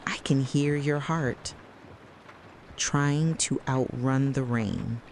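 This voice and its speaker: A narrator delivering a scripted, dramatic late-night soliloquy in a deliberate, brooding way, speaking straight to the listener. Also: mid-range voice, steady tone, slight background noise. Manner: slow, deliberate, brooding second-person address.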